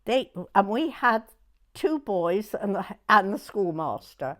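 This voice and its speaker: A woman in her nineties with a southern English accent, reminiscing in an unhurried, halting way in short broken phrases. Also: mid-range voice, very animated. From southern England. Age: 90s. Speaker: oral history interviewee. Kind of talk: personal reminiscence in conversation.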